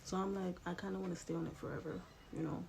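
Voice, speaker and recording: A woman's voice: fairly low pitch, fairly even intonation, fast talker, creaky, a little mellow. A woman in her late twenties talks straight to the camera in a loose, chatty vlog, her voice stuffy and congested from a cold. She swears freely and keeps checking in with the viewer. A faint hiss of background noise sits under her voice. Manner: candid and chatty, swears freely, asks the viewer "you know?". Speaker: vlogger. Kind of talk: casual storytelling vlog. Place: home room with a TV playing.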